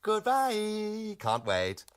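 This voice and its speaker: A woman playing a flustered, placating head of a college drama department in a radio comedy, husky and over-polite, with hesitant effusiveness. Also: medium pitch, wildly swooping pitch, very slow, a little hiss or hum, heavily acted. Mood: anxious, ingratiating. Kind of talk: radio comedy play acting.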